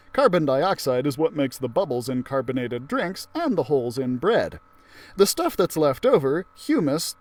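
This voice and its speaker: A man reading a children's storybook aloud in an expressive way, acting out the characters' lines as he goes. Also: on the high side.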